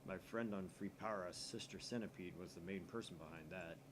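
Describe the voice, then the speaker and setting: A man voicing a laid-back young male hacker friend who talks in an offhand, low mumble. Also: brisk, background noise, lightly acted. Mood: explaining.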